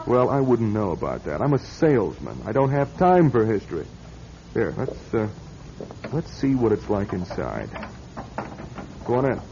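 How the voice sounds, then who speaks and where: A mellow, animated, grumbling salesman. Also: on the low side, normal speed, pauses often, a little hiss or hum. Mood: defensive, dismissive. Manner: gruff, sarcastic, complaining. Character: irritable, cynical, self-pitying salesman. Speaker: radio drama actor. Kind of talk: classic radio drama acting. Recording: dull radio sound, long reverb.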